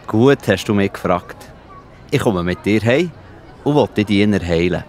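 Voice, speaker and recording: Gentle male healer for a kids' audio play, played by a man: lowish voice, encouraging, faint crowd behind. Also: lively intonation, moderate pace, lightly acted. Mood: kind attention. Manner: kindly, encouraging. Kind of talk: children's audio play acting.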